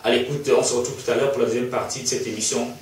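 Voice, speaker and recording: Fast-talking male host in an echoey room. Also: mid-range voice, level tone, heavy background noise. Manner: rambling, repeats words while forming questions. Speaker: talk show host. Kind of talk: interview questions. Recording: echoey room, mic far off.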